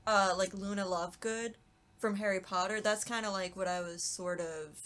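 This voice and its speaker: A young adult woman, a voice actress guest, chatting casually in an animated, giggly, self-deprecating way. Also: medium pitch, moderate pace. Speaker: voice actress guest on a podcast talkback. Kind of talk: casual chat.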